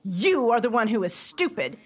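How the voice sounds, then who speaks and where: A woman acts out a sensitive but sharp-tongued young woman in a radio drama. Her voice is fairly high and swings widely in pitch, with indignant, theatrical emphasis on her words. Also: big pitch swings, normal speed. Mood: furious. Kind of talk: radio play acting.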